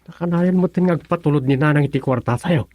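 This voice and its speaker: An actor with an Ilocano accent playing a worried, mild-mannered grown son in a radio drama, earnest and fretful. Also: male voice, on the high side, fast, darkish tone, dry recording, natural voice. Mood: troubled.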